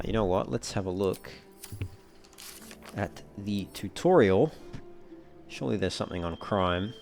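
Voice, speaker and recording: A man's voice: fairly low pitch, gravelly. A man giving casual gameplay commentary and reading game dialogue aloud over game music. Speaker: let's play host. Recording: game music faintly behind the voice. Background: video game music.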